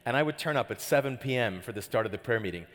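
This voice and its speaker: A man's voice: mid-range voice, lively intonation, fast, fairly bright tone. A middle-aged Canadian man preaching a sermon as pastor, chatty and joking, often asking the crowd questions. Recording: hall reverb.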